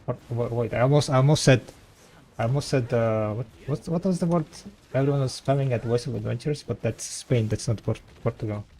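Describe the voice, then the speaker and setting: A man whose first language is Russian, with a light Russian accent, chatting with viewers as a game streamer and trailing off in halting restarts. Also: mid-range voice, dark tone, slight background noise, rough recording. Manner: rambling, halting, many restarts. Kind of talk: casual chat with viewers.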